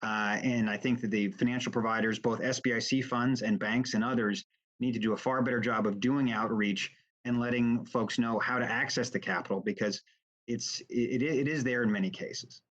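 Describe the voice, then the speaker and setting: A man's voice: mid-range voice, steady tone, normal speed, fairly bright tone. Man testifying in dull call audio. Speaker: expert witness at a government hearing. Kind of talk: formal testimony, speaking off the cuff. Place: remote hearing over video call.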